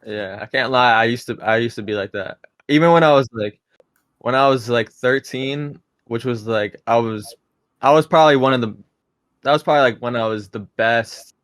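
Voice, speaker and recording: A man's voice: medium pitch, moderate pace, no echo. A young man in his twenties talks casually as a podcast guest. He rambles a bit, restarting his sentences as he goes. The sound is close and dry. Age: 20s. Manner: relaxed, rambling, with frequent restarts and fillers.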